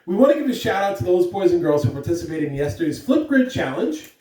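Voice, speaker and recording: A woman speaking to young children in an encouraging, deliberate way, as in school announcements and a read-aloud. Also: fairly low pitch, sing-song, dark tone. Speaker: school morning announcer and story reader. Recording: echoey room, distant mic, faint noise.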